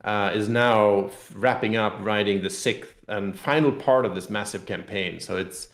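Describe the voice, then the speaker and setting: Guy explaining his game stuff in a casual interview, lowish voice, roomy echo, repeats words as he goes. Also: moderate pace. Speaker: game designer and interview guest.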